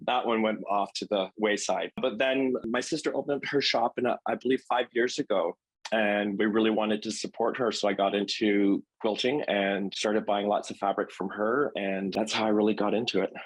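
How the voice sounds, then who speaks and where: Canadian guy chatting as a guest, lowish husky voice, casual storytelling. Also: steady tone, moderate pace, rough recording. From London, Ontario, Canada. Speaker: interview guest, quilter and teacher. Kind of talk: casual chat, telling personal stories.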